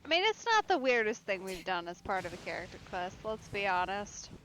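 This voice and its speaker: A woman's voice: mid-range voice, big pitch swings, normal speed, a bit bright, a little hiss or hum, poor audio. A woman giving casual commentary as she plays through a game as a streamer. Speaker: let's play streamer.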